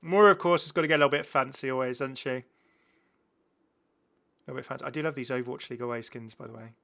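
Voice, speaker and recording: A man's voice: mid-range voice, fast talker, gravelly, fairly bright tone. A man hosting a gaming video in a casual, chatty commentary, rambling and repeating his phrases. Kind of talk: casual commentary on game cosmetics.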